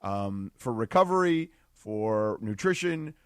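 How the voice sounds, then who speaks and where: Brief: male radio co-host, animated swooping delivery, dry close mic, rambling explainer. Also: lowish voice, slow, smooth, no echo. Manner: rambling, explains at length, many restarts. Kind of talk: sports talk radio analysis. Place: radio studio. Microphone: studio microphone.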